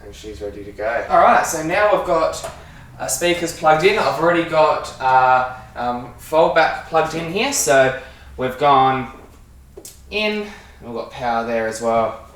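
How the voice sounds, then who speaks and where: Aussie guy doing a casual how-to, slow and halting, far from mic, super echoey room. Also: fairly high pitch, expressive, fairly bright tone. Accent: Australian. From Australia. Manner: informal, halting, talking while working with hands. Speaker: sound engineer giving a demonstration. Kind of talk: casual hands-on tutorial. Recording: echoey room, distant mic, faint noise.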